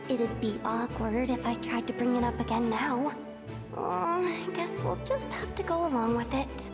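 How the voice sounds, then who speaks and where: An actress voicing a small, excitable, candid girlish companion in a video game, chatty and expressive. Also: fairly high pitch. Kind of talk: video game character acting. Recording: background music under the voice.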